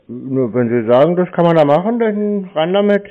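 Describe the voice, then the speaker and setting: A man's voice: a little high, animated, normal speed. A man in a casual phone conversation, answering in a blunt, laconic and nonchalant way. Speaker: prank call recipient playing a customer. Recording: thin, muffled phone line.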